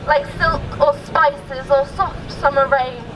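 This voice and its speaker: A woman reciting a spoken-word poem in measured, declaimed phrases at an outdoor rally. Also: high voice, steady tone. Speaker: poem reader at protest rally. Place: outdoors at a street protest. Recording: distant outdoor mic, crowd, traffic noise.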